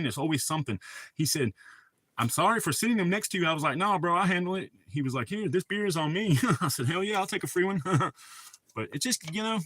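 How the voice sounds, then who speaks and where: Middle-aged male streamer, bright forceful tone, slightly high, profane rambling rant, dry close sound. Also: no room sound. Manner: profane, rambling, heated storytelling. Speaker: livestream host. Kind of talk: casual ranting chat.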